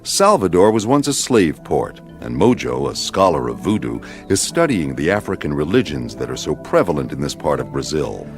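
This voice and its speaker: A man's voice: rumbling low, very animated, normal speed, few pauses, full character voice. A man acting as the storytelling narrator of an exotic adventure radio serial, with scripted delivery. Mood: measured, expository. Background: music.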